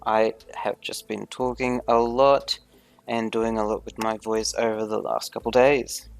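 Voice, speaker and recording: A man's voice: lowish voice, flat delivery, unhurried, vocal fry. A man hosting a gaming video, giving gameplay commentary in careful, formal phrasing with few contractions.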